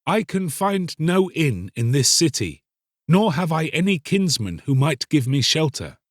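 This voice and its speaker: A man's voice: fairly high pitch, big pitch swings, unhurried, dry recording, studio quality, voice pushed up. A man voicing a young, lovelorn fisherman in a storybook, earnest and troubled, with questioning, archaic speech. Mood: uneasy. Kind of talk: dramatised storybook reading.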